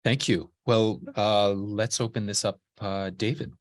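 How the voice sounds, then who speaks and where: A man moderating a panel over a video call, speaking softly and backing up the speakers with short affirmations. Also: on the low side, little pitch movement, unhurried. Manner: soft-spoken, with supportive backchannels.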